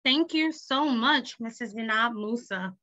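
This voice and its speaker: A woman's voice: on the high side, measured pace, pushed and bright. A woman politely and cheerfully moderating an online summit, introducing speakers. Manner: polite and upbeat. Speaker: event moderator. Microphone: video call. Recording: slightly muffled video call audio.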